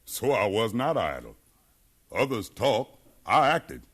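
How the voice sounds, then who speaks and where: A man's voice: on the low side, very animated, slow pace, fairly bright tone, husky, heavily acted. An actor playing a proud, defiant freed slave facing his sentence, with solemn, impassioned oratory in a 1950s radio drama. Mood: grave defiance. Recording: 1950s radio recording, music behind.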